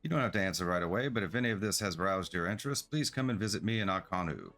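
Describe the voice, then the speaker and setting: A man's voice: deep voice, expressive, quick pace, fairly bright tone. A man reading the part of an eager, polite merchant in a game, with effusive, formal sales patter. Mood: eager, persuasive. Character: eager, polite merchant founder of a travel agency. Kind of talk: game quest dialogue read aloud. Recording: music behind the voice.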